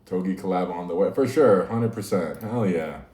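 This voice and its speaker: A man's voice: low voice, normal speed, darkish tone. A man hosting a casual livestream, talking easily to his chat and laughing often. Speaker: livestream host. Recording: echoey room, mic at a distance.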